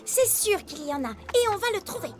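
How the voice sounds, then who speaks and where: A woman's voice: very high-pitched, very animated, a little fast. A woman voicing a young female animal cub, hopeful, polite and brave, in a children's cartoon with music behind. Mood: hopeful, earnest.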